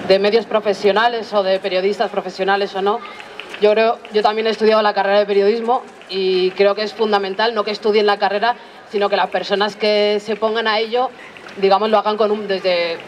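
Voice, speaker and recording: Rich: A young adult speaks at an outdoor assembly, explaining their points at a measured pace. They often pause on filler sounds. Their voice sits mid-range, and there is a faint hum of city noise around them. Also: medium pitch. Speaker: audience member at a public assembly. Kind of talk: spontaneous public debate contribution.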